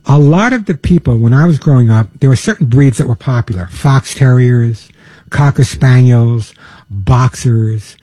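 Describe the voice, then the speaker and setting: A man's voice: mid-range voice, animated, moderate pace, mellow, husky, no echo. An older man from New York hosting a pet radio show, talking in a chatty, earnest way with repeated words. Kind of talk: casual talk radio monologue.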